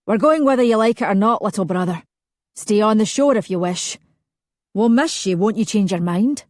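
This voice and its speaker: A woman's voice: fairly low pitch, steady tone, moderate pace, mellow, husky, no echo, studio quality. A woman voicing a smug older brother who teases his younger brother in a self-assured way, from a storybook read aloud. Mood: teasing.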